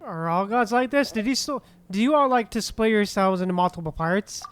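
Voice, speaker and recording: A woman's voice: medium pitch, expressive, a little fast, no echo. A woman game streamer reacting casually to a playthrough in short, muttered, laid-back remarks. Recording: faint game music behind voice. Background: game music.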